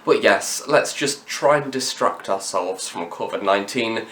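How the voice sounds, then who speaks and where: A man's voice: lowish voice, a little slow, a bit bright, a little hiss or hum. A young man presenting a review to camera in a chatty, wordy, emphatic way. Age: young adult. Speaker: review channel host. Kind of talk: scripted-sounding audio drama review to camera. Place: room in university campus housing. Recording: echoey, roomy sound.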